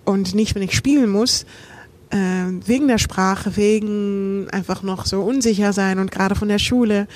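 Dutch-accented actress, melodic speech. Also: female voice, mid-range voice, fairly even intonation, normal speed, darkish tone. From Netherlands. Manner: thoughtful, hesitant, melodic phrasing. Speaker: stage actress, guest. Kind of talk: casual podcast chat.

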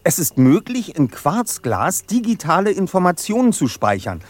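A man's voice: high-pitched, huge pitch swings, normal speed, dry recording, full character voice. An actor playing a brainy, self-assured young detective who leads his team in an audio drama, with precise, formal, commanding speech. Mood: confident, skeptical. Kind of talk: German audio drama acting.

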